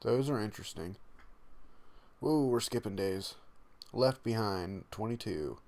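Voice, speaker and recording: A man giving casual reaction commentary and reading aloud, halting and stumbling over words. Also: fairly low pitch, darkish tone. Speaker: reaction video host.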